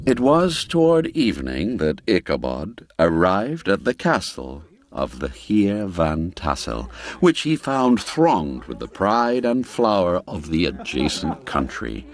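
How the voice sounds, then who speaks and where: A low-voiced man narrates an old-fashioned story at an unhurried pace, with a swooping, expressive range. His delivery is theatrical and savors every ornate phrase. Music plays behind him. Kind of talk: scripted literary audiobook narration. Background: background music.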